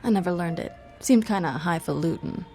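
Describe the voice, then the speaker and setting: An actress playing a cocky, sardonic half-vampire warrior in a video game, with dry, teasing confidence. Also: female voice, fairly low pitch, a little fast, mellow, faint music behind, lightly acted. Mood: cocky, taunting. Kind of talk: video game cutscene acting.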